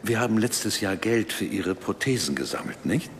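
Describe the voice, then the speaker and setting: An actor playing a cautious, worried parish priest in a radio play, speaking gravely and in warning. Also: male voice, on the low side, animated, normal speed, slight background noise, close to an everyday voice.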